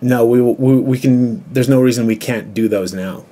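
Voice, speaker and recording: A man's voice: mid-range voice, normal speed, warm tone. An aggrieved, confrontational man arguing on a recorded telephone call. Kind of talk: tense phone argument.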